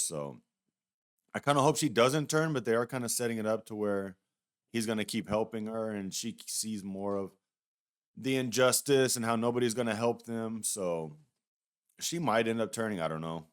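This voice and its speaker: Low, clear-voiced man chatting casually. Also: normal speed. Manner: rambling, hedging, conversational. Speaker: YouTube reviewer. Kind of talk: casual, unscripted review commentary.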